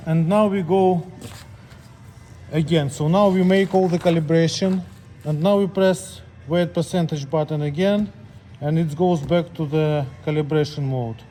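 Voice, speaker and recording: Higher-voiced man giving step-by-step instructions, mellow tone. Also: fairly even intonation, unhurried, faint music behind. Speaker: scale technician demonstrating calibration. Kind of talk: hands-on tutorial, unscripted step-by-step instructions.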